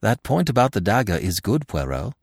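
Detective's earnest gentleman companion done by a male reader, eager, loyal, animated pitch, dry audiobook sound. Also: a little fast, gravelly, slightly rough, dry recording, full character voice. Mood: urgent, hopeful.

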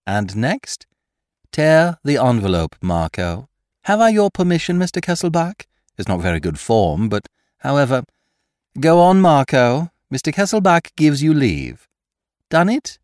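A man voicing a triumphant, mocking gentleman thief in an audiobook, theatrical and commanding, firing off questions and taunts. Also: medium pitch, very animated, a little slow, dry recording, studio quality, voice pushed up. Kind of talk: dramatised audiobook character voice.

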